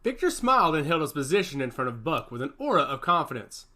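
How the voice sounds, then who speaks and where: Animated male story narrator. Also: on the high side, fast talker. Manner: expressive storytelling. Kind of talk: audiobook narration.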